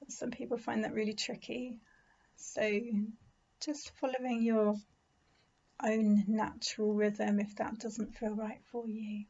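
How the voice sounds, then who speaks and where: A woman leading a guided meditation in a gentle, soothing, unhurried manner with pauses. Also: on the high side, flat delivery, creaky, lo-fi sound. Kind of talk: guided body scan meditation.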